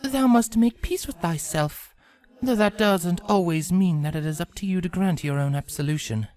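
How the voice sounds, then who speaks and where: A narrator voicing a solemn, regal princess pony who speaks in a formal, archaic and measured way over music. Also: medium pitch, darkish tone, voice pushed up, lightly acted. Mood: regal, gentle.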